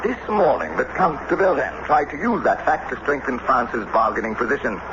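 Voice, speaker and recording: A man's voice: mid-range voice, very animated, normal speed, natural voice. An actor playing an irascible, suspicious American statesman and devoted husband in a theatrical radio drama style. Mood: indignant, accusing. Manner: emphatic, theatrical, declamatory. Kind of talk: 1970s radio drama acting. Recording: muffled, noisy old radio broadcast.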